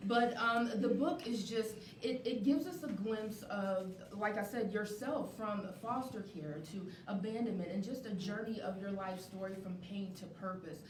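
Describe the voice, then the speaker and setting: A woman's voice: mid-range voice, moderate pace, few pauses. A woman hosting a live talk show and interviewing guests. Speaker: show host. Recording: echoey, distant mic, faint noise.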